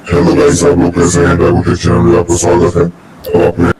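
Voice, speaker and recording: A deep robot voice made from a man's through a filter rings out in heavy echo. It is mechanical and delivers a simple voice-note greeting over some noise. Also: completely flat delivery, a little fast, darkish tone. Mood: flat, mechanical. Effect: robot voice filter, pitched down. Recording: very echoey, noisy processed phone playback.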